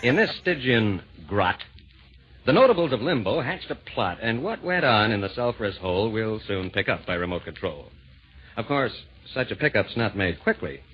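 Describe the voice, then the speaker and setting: A man narrating an old radio play in rhyme, theatrical, measured and wry. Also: on the low side, sing-song, husky. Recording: dull vintage radio recording.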